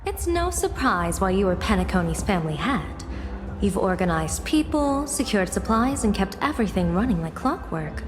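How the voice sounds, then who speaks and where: An actress voicing a composed, courteous female general in a video game, speaking in a measured, formal way. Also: mid-range voice, big pitch swings, long reverb tail, music in the background, lightly acted. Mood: composed, admiring. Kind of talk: game voice acting.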